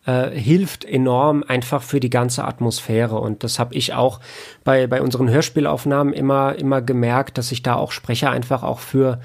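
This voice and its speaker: Man explaining his craft in halting, casual talk. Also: mid-range voice, steady tone, creaky. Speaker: podcast guest, audiobook editor and audio drama producer.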